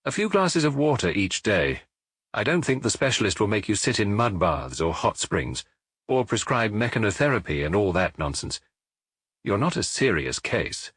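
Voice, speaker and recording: A man voicing a brisk, frank family doctor who reassures his friend in an audiobook. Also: lowish voice, very animated, fairly bright tone, husky, dry recording, heavily acted. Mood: firm. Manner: brisk, reassuring, lightly teasing.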